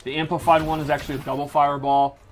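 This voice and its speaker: Man, fairly high voice, enthusiastic game developer, casual explanatory gameplay commentary. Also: little pitch movement, fast, a little hiss or hum. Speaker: game developer demonstrating a fighting game character.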